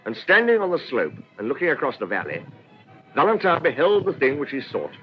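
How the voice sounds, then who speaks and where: An animated male storyteller. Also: mid-range voice, brisk, faint music behind. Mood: descriptive. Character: literary narrator of a detective tale. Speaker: narrator. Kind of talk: scripted narration. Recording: muffled recording with faint noise.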